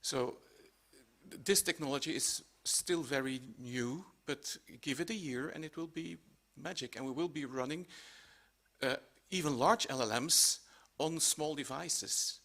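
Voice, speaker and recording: A man speaking as a panelist, giving a spontaneous technical explanation in short, halting phrases. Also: a little high, a bit bright.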